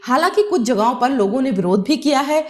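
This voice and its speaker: A woman's voice: high-pitched, animated, a little fast, a little mellow. A woman narrating a scripted news report as a news presenter.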